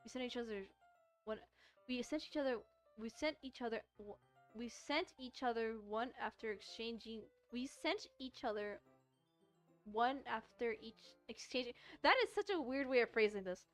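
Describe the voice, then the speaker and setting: High-voiced woman streamer reading a visual novel. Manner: halting, often repeats and rereads phrases. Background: soft music.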